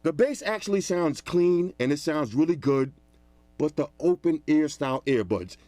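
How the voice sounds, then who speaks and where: A man giving a casual, animated product review in a loud, talkative voice. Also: on the high side, normal speed, dry recording. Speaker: tech reviewer.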